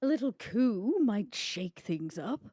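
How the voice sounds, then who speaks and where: A woman playing a haughty, scheming female vampire in a tabletop game, with a dry, sardonic, deliberate delivery. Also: mid-range voice, lively intonation, mellow, no room sound, voice pushed up. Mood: sly, calculating.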